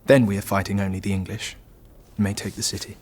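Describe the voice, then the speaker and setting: A man playing a calculating, patient French king in a historical audio drama, with a measured, condescending manner. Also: lowish voice, level tone, husky.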